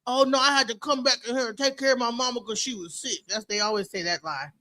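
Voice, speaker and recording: A woman in her forties talking bluntly and profanely in a loud, mocking way during a casual discussion. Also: on the high side, fast talker, dry recording. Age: 40s.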